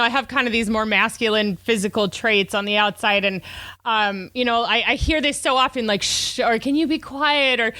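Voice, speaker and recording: A woman hosting a conversational podcast in a candid, self-reflective way, with a loud, carrying voice. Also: on the high side, dry recording. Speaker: podcast host. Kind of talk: conversational interview talk.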